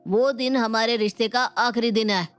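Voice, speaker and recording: A woman's voice: a little high, steady tone, moderate pace, smooth, close to an everyday voice. A woman voicing a strict, fed-up husband in a family storybook, lecturing and giving stern warnings. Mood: stern, exasperated. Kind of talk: dramatised Hindi family storybook. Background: music.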